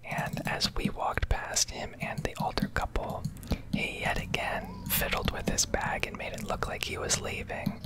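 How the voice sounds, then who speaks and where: Whispering woman, rambling ASMR. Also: a little slow. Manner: rambling, casually sweary. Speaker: game streamer. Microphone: close microphone.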